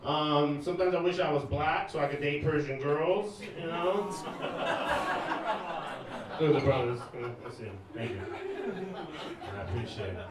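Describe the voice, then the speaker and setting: A man's voice: fairly high pitch, expressive, normal speed, pauses often, noisy background. A man originally from Iran doing a stand-up set on a club stage, chatting loosely with the crowd and throwing in asides. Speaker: stand-up comedian and DJ. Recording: very echoey club room, mic far off, crowd loud. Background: audience laughter and cheering.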